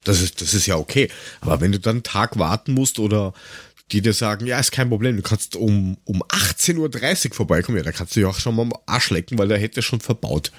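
A man's voice: lowish voice, lively intonation, quick pace, no echo, clean studio sound. A man with an Austrian accent telling anecdotes in a casual chat as a podcast co-host, blunt, colloquial and grumbling.

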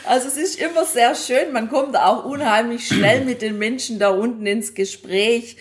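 A woman's voice: on the high side, normal speed. A woman co-hosting a podcast, chatting casually and colloquially about travel. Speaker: podcast co-host. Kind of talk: casual conversational travel talk.

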